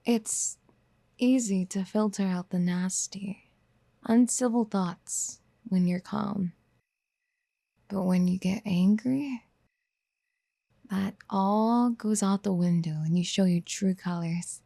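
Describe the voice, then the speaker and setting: Woman acting as possessive motherly yandere, ASMR roleplay, slow unhurried delivery, gentle fry, breathy, intimate, coaxing. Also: mid-range voice, no echo. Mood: soothing, persuasive. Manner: gentle, coaxing, intimate, often dropping to whispers. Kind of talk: soft-spoken ASMR roleplay. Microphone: close studio mic.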